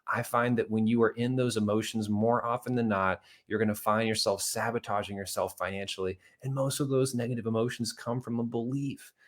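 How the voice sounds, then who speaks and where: A man's voice: lowish voice, level tone, brisk, hardly any pauses. Earnest man preaching money and faith. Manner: earnest, conversational, with many asides to "guys"; acts out little dialogues and tells anecdotes. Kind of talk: motivational video talk to viewers.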